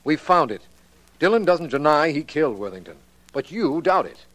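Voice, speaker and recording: Blunt, businesslike official. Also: male voice, fairly high pitch, very animated, moderate pace, a bit bright, no echo, poor audio. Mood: blunt puzzlement. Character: brisk, plain-spoken male official working beside the detective. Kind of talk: 1940s radio detective drama acting.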